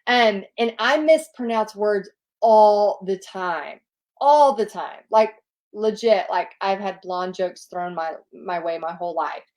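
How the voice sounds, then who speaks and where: A woman's voice: medium pitch, slow pace, darkish tone. A woman with a Southern US accent, a paint party instructor chatting casually as she answers viewer questions in an upbeat, encouraging way. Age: adult, nearly two decades of experience.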